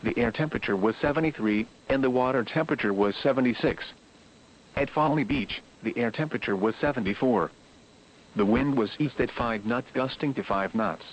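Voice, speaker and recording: A man's voice: medium pitch, fairly quick. Muffled synthetic male weather radio announcer. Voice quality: synthetic text-to-speech voice. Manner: formal, impersonal. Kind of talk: scripted weather bulletin reading.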